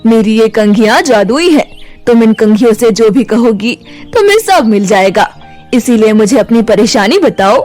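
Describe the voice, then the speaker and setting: Woman voicing a kindly, calm magic tree spirit. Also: a little high, normal speed, soft background music, close to an everyday voice. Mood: reassuring.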